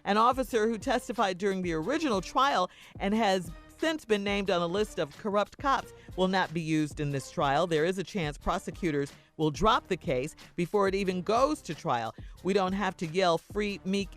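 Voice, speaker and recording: A woman's voice: fairly low pitch, moderate pace, few pauses, soft background music. Woman radio co-host reading entertainment news. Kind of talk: conversational entertainment news delivery. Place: radio studio.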